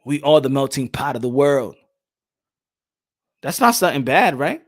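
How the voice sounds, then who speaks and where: A young adult man hosting a casual boxing livestream, animated and repeating his phrases as he reads out the chat. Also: mid-range voice, normal speed. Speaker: boxing channel host.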